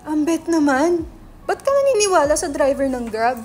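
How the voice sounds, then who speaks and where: A woman's voice: sits high, very animated, moderate pace, noisy background. A woman with a Filipino accent acting a brash, sarcastic, money-minded young entrepreneur in a dramatic reading, mixing English into Tagalog. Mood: incredulous. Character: brash, money-minded male website entrepreneur, teasing his friend. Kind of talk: dramatic reading of a stage script.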